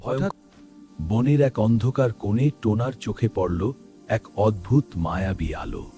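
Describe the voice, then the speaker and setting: A man's voice: fairly low pitch, lively intonation, moderate pace, mellow. A man narrating a cartoon fairy tale in a storytelling manner. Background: faint music.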